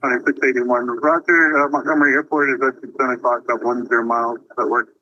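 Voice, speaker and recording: Sharp male voice over aviation radio. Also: medium pitch, fairly even intonation, fast talker, no room sound. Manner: clipped procedural phrasing. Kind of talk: air traffic radio communication. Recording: dull, band-limited aviation radio.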